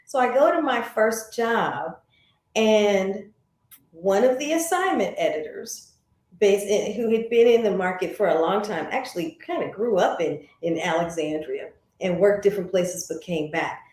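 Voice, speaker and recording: An American woman speaks as an interview guest in an echoey room. Her pitch sits in the middle for a woman, and she tells her story conversationally, acting out what people once said to her. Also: expressive, measured pace, darkish tone. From St. Louis, United States. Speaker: interview guest, former broadcast journalist.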